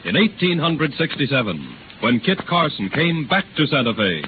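A man's voice: a little high, big pitch swings, normal speed. A man narrating a scripted 1930s radio drama in a grand, declamatory manner. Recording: noisy, tinny 1930s radio recording.

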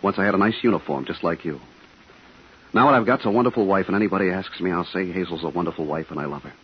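An actor playing a guarded, scared suburban husband and veteran, terse and evasive, in 1950s radio drama style. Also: male voice, low voice, quick pace, lightly acted. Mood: defensive, firm. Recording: dull, slightly noisy 1950s radio recording.

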